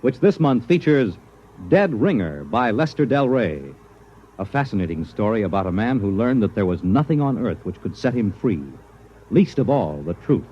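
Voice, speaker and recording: American male 1950s radio announcer: highly animated scripted delivery, dull old broadcast sound. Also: normal speed, a little hiss or hum. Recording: muffled vintage radio recording.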